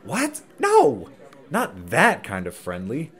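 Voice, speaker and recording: A man playing a chatty, easygoing small-town local with a folksy, expressive manner in an audio drama. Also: mid-range voice, slow, breathy edge, a faint crowd, full character voice. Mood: flustered.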